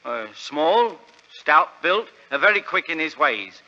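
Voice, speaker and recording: An earnest, plodding English shopkeeper in an old radio drama. He speaks haltingly and respectfully in a highish, very bright voice. The recording is dull, with long reverb. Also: sing-song, full character voice. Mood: earnest, deferential. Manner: halting, deferential, wordy storytelling. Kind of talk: 1940s radio drama acting.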